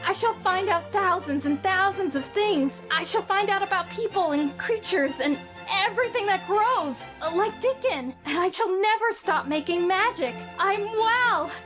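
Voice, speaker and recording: A woman doing a high-voiced, wonder-struck boy for an audio drama, lively and excitable. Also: unhurried, hardly any pauses, over music. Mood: ecstatic. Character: sickly boy recovering, eager and wondering. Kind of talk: amateur audio drama acting.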